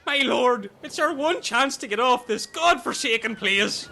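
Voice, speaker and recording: A man playing a chatty, excitable castaway who tells daft jokes in a comedy audio sketch. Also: very high voice, a little slow, creaky, soft background music, full character voice. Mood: excited.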